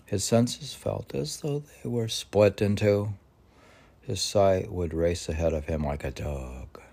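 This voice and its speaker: Man, very low creaky voice, unhurried delivery, poetry reading, rambling musing asides. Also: warm tone. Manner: musing, conversational, drifting between recitation and asides. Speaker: poetry reader.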